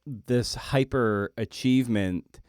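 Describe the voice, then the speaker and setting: A man hosting a podcast interview, casually feeling his way through questions with halting, restarted phrasing. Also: medium pitch, darkish tone, smooth, no echo, studio quality. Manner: halting, searching phrasing with repeated starts.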